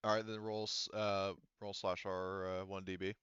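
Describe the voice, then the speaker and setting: A man's voice: low-pitched, little pitch movement, normal speed, a bit bright, smooth, dry recording, lo-fi sound. A man running a tabletop roleplaying session as game master, casually explaining rules in a hesitant, thinking-aloud way.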